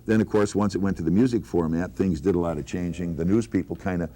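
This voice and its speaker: An older man with a deep voice looks back on his working life. He is a veteran broadcaster, speaking in a casual conversational way among old colleagues. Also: steady tone, quick pace, mellow.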